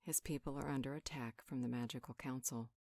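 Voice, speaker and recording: A woman playing a calm, wise healer in an audio roleplay, talking a friend through things in a measured, reassuring, conversational way. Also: extremely low pitch, dry recording. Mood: earnest, reassuring. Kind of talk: conversational roleplay monologue, one side of a dialogue.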